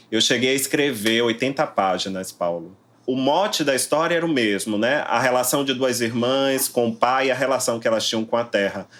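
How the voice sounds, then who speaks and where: A man's voice: medium pitch, a bit bright. A man in his forties from Salvador with a Bahian accent, answering interview questions in a reflective way, often ending thoughts with tag questions. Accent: Bahian Portuguese. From Brazil, Salvador. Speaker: interviewed novelist.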